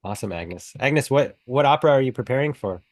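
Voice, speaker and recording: Very low, brisk woman moderating. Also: animated, darkish tone, dry recording. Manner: brisk, practical. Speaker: workshop moderator. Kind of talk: moderating a video call. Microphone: video call.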